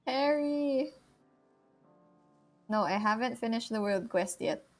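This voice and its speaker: A woman's voice: a little high, takes it slowly. A woman livestreaming a game, giving casual commentary and chatting to viewers. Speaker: game streamer. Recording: loud game music behind the voice. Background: game music and character dialogue.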